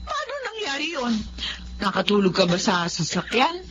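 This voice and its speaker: A woman with a Filipino accent playing an excitable, worried middle-aged mother in a radio drama. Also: on the high side, normal speed, lo-fi sound, a little hiss or hum. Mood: worried, prodding.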